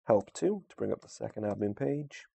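A man's voice: on the low side, animated, normal speed, creaky, mellow, breathy edge, no room sound. A man giving a casual, unscripted video game tutorial, informal and a little hesitant as he thinks aloud. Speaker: gamer presenting a video walkthrough.

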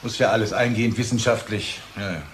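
An actor playing a brisk, market-minded pharmaceutical boss, pompous and impatient, in a German radio drama. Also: male voice, on the low side, steady tone, slight background noise, natural voice. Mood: pushy. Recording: clear background room ambience.

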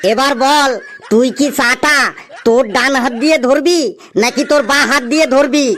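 Woman doing a high-pitched, sly tea-stall man. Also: fairly even intonation, fairly bright tone, a little hiss or hum. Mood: gleeful, needling. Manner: mock-patient, drawn-out questioning. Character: sly, teasing male tea-stall owner, stubbornly needling. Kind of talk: exaggerated comic cartoon dialogue.

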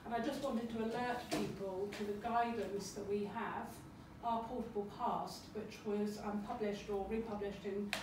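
A British woman giving an informal, slightly halting and self-effacing short presentation as a panellist. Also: mid-range voice. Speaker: heritage adviser on a panel. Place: lecture room, indoors. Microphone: far camera in the audience. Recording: echoey lecture room, distant mic, noisy.

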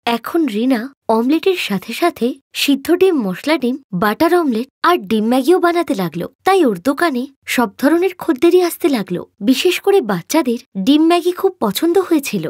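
A woman narrating an animated moral tale in a scripted storytelling style. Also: sits high, normal speed, no echo, clean studio sound.

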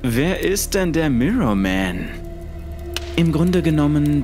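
A man narrating a scripted first-person horror story. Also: medium pitch. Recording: music under the voice. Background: music.

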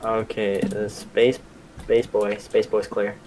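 A man's voice: lowish voice, level tone, a little mellow, slight background noise. A young man hosting a casual gaming video, asking his friend questions and joking while he plays. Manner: upbeat, jokey, quick asides while playing. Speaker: gaming channel host.

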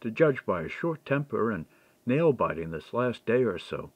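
A man reading as a frank, seasoned man-at-arms, animated. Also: fairly low pitch, normal speed, dry recording. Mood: inquiring. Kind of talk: audiobook character dialogue. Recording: dull, lo-fi dry recording.

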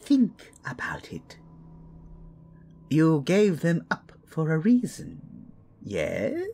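A woman's voice: deep voice, huge pitch swings, creaky, mellow, slight background noise, exaggerated voice. A woman voicing a genteel, sly witch in an audio roleplay, coaxing and knowing, drawing out her words theatrically. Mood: probing, knowing. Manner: drawn-out, coaxing, calls listener dear.

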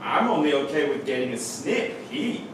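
A man's voice: fairly high pitch, very animated, normal speed. An actor playing Adam, a young man teasing and worrying about his mother, in a staged reading on a theatre stage. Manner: wry, teasing, then alarmed and shouting. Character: young man Adam, anxious, joking son. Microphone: far camera, no visible mic. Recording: echoey hall from far off, noisy.

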